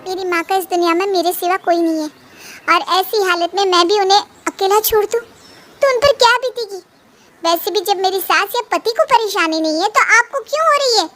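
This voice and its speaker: A woman voicing a young wife who defends her mother indignantly in an animated family story. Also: very high voice, fairly quick. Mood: pleading. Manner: indignant and pleading.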